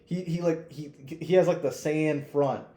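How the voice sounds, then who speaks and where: A man's voice: on the high side, steady tone, normal speed, mellow. A man co-hosting a podcast in casual, slangy, sweary talk, often restarting and leaning on filler words. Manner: informal, hesitant phrasing peppered with "like". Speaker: podcast co-host. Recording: roomy, echoey sound.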